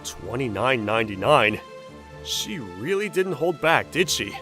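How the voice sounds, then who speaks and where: A man playing a level-headed, gently teasing young man in a manga dub, narrating and talking in a dry, blunt manner. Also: medium pitch, expressive, moderate pace, faint music behind, lightly acted. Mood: nervous, wry.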